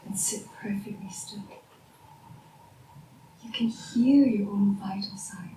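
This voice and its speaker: A woman's voice: on the high side, recorded from a distance. A woman playing a proud, combative mathematician, sardonic and theatrical, in stage-style dramatic dialogue. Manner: sardonic, argumentative, theatrical, sweary. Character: proud, combative woman mathematician, sore loser, bickering with rival. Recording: echoey room, off-mic, faint noise.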